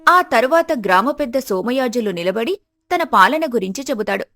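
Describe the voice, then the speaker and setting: A woman's voice: fairly high pitch, lively intonation, normal speed, a bit bright, no echo. A woman reading scripted narration of a moral folk tale as the storyteller.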